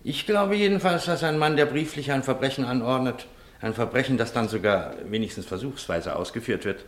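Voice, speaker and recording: A man's voice: mid-range voice, a little fast, lightly acted. An earnest amateur detective in a radio play, acted by a man. His mid-range voice is matter-of-fact and insistent. He speaks with impatient authority. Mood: brisk, sceptical. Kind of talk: German radio play acting.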